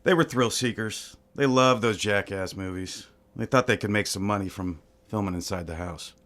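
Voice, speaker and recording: An actor playing a laid-back, world-worn tattoo artist, talking casually in an audio drama. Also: male voice, on the low side, moderate pace, a bit bright, close to an everyday voice. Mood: assured. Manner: easygoing, casual.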